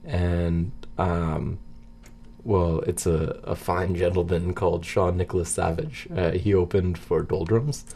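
A man co-hosting a radio show, chatting casually about music in a slightly halting way. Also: sits low, fairly even intonation, creaky, mellow, a little hiss or hum. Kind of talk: casual music chat between songs.

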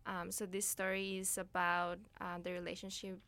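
A woman's voice: on the low side, monotone, normal speed, pushed and bright, clear, resonant tone, dry recording. A woman in her twenties from Ecuador giving casual, hesitant interview answers as a guest. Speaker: interview guest, student filmmaker. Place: radio studio.

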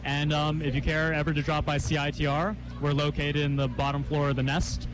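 A man's voice: a little high, little pitch movement, rough recording. Chatty young male campus radio host. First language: Cantonese. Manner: chatty, laughs often, quick asides. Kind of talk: casual live radio interview.

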